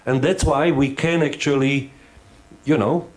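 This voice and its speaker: A man's voice: medium pitch, fairly even intonation, unhurried, rough recording. A man who is not a native English speaker, giving a hands-on workshop lecture. He thinks aloud and halts often, restarting his sentences.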